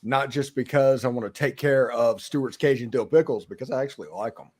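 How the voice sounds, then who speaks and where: A man's voice: mid-range voice, brisk, a little mellow. A man co-hosting a podcast in casual chat, with a dry, teasing manner. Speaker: podcast co-host.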